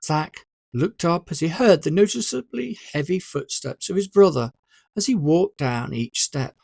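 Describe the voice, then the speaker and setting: A narrator reads a story in a deliberate audiobook style. The pitch is mid-range and moves in lively swings. The sound is close and dry, with expressive phrasing throughout. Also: gravelly, husky, dry recording. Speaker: author narrating own audiobook.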